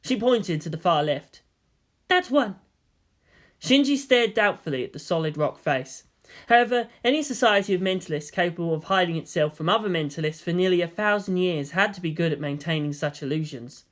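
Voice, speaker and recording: Fan fiction audiobook narrator: mid-pitched, scripted, expressive storytelling delivery. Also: brisk.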